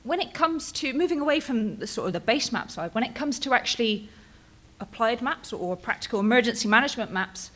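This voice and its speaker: A woman's voice: fairly high pitch, animated, brisk, fairly bright tone. British woman giving a chatty conference talk. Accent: British English. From England, Bristol. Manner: casual and chatty, frequent ums and tag questions. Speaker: postdoctoral researcher presenting. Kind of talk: conference presentation.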